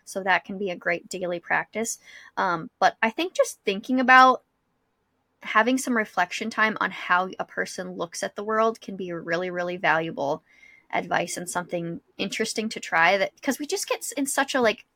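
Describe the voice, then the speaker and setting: Bright-voiced woman chatting on a podcast. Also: mid-range voice, normal speed, dry recording. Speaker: podcast host. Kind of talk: casual podcast chat giving advice.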